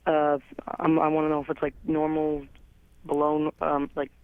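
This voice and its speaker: A teenage boy with a cold, calling a radio show and asking haltingly, with many fillers. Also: fairly high pitch, completely flat delivery, clean tone, dry recording. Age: teens. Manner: hesitant, halting, full of fillers. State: has a cold. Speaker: caller. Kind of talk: phoned-in question. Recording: dry, muffled phone line.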